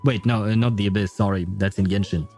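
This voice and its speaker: Male game streamer, casual and rambling, thinks aloud, soft dark timbre over faint background music. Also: on the low side, fairly even intonation, quick pace. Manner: rambling, thinking aloud, chuckles at own jokes. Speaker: livestream host. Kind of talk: casual gaming livestream chat.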